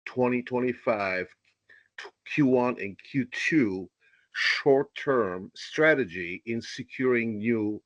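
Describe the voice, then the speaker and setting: A man calling in to an earnings call, asking formal questions politely and deliberately over a remote line. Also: mid-range voice, dry recording. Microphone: remote conference call.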